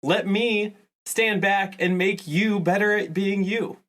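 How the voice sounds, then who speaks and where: A man on a comedy podcast improvising a glib corporate sales pitch as a panelist. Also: steady tone, slow pace, fairly bright tone. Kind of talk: improvised comic pitch and banter.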